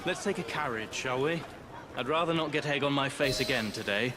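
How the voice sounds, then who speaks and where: An actor playing a weary male police inspector, who speaks in a measured, grave way. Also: medium pitch, crowd noise, close to an everyday voice.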